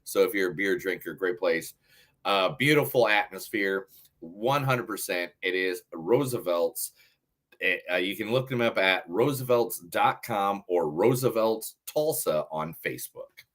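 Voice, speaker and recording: A man's voice: low-pitched, expressive, a little slow, a bit bright. An American man co-hosting a podcast in casual banter, blunt, jokey and sweary. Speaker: podcast co-host.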